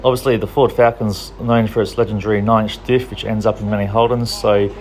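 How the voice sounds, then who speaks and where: A man with a lowish voice talks through strongman training in an easygoing, practical way. He sounds young and plain-spoken, like a coach showing gym members what to do. A faint hum sits under his voice. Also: level tone, fairly quick, darkish tone. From Australia. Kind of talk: casual training tutorial voice-over.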